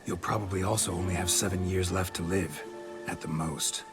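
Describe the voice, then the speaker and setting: A man playing a stoic, weary monster hunter in a video game, gravelly and terse, with a deadpan, clipped delivery. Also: low-pitched, normal speed. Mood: grave, matter-of-fact. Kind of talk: video game cutscene acting. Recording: background music under the voice.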